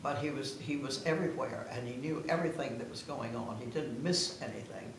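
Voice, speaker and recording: A woman's voice: rumbling low, fairly quick. A woman in her nineties telling family stories casually, in an anecdotal way, and given to laughing. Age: 90s. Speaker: guest of honour sharing family memories. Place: echoing room at a family gathering. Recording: very echoey room, distant mic, noisy.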